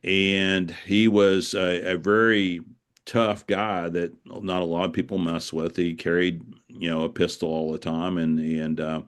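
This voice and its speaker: Male author guest, mature, low register. Conversational, reflective storyteller with a halting, rambling delivery. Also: level tone, smooth. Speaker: author being interviewed. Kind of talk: casual conversational interview, storytelling.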